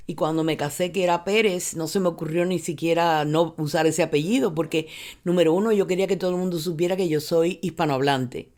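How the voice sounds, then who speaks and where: A woman's voice: lowish voice, fairly quick. An older woman from Cuba, telling her life story in an interview, often restarting words and slipping in English phrases. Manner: animated when retelling, many restarts, mixes in English phrases. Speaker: interviewee, university professor. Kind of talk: reflective personal storytelling.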